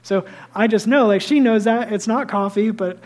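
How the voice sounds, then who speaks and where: A man with a high, light voice preaching a sermon as a pastor, telling stories lively and dropping into hushed asides. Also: moderate pace. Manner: lively storytelling that drops to hushed, near-whispered asides; self-deprecating and conversational.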